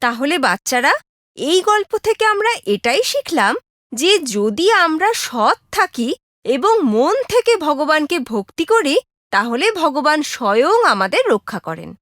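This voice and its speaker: High, animated woman telling children's stories. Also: slow, no room sound, clean studio sound. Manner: expressive, addressing children. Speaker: storyteller for children. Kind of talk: scripted children's story narration.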